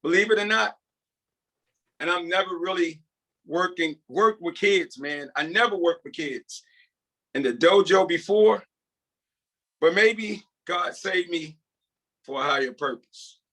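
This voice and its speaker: Man reading as earnest ex-convict, bright voice. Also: high voice, full character voice. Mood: humbly grateful. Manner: sincere, humble, halting. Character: earnest, grateful, devout male ex-prisoner starting over. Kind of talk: script table read.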